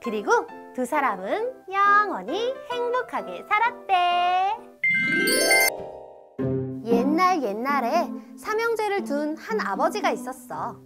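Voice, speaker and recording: A woman's voice: very high voice, very animated, slow pace, over loud music. A woman narrating a scripted children's story as a storyteller.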